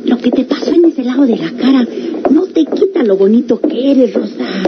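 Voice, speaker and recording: An actress playing a gentle confidante in a Mexican radionovela, speaking soothingly with a Mexican accent. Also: female voice, on the high side, animated, normal speed. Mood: consoling. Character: gentle, consoling woman confidante. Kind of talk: golden-age radionovela acting. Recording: muffled, very noisy vintage radio recording.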